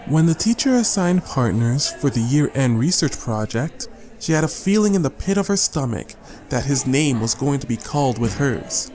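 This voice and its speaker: A man reading scripted audiobook narration as a storyteller, measured and with deliberate pauses. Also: mid-range voice, big pitch swings, a little mellow. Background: guitar music.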